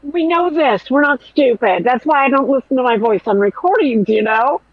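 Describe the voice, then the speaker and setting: A woman hosting a podcast in casual commentary chat, with a nasal, whiny voice and a blunt, profane, animated way of talking. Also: sits high, normal speed, lo-fi sound. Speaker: podcast host.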